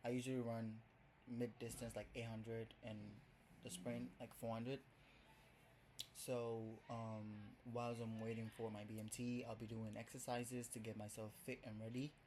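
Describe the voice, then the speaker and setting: Young ghanaian guy vlogging, mid-pitch voice, lots of likes and ums, bit of hiss behind. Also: little pitch movement, measured pace, a little mellow. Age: young adult. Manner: chatty, rambling, heavy on fillers. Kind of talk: casual first vlog to camera.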